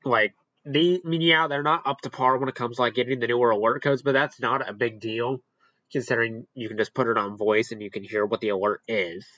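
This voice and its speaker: A man hosting a casual, unscripted ranking commentary, halting and rambling as he corrects himself along the way. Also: mid-range voice, fairly even intonation, fairly bright tone, no echo, muffled recording. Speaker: video host ranking products.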